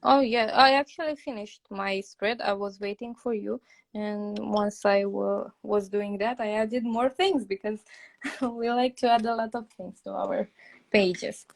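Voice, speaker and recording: A woman's voice: medium pitch, steady tone, measured pace, creaky. A woman from Romania with a Romanian accent, a native Romanian speaker. She chats as a guest on a craft live stream, talking a lot, searching for English words and laughing often. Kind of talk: casual live-stream chat.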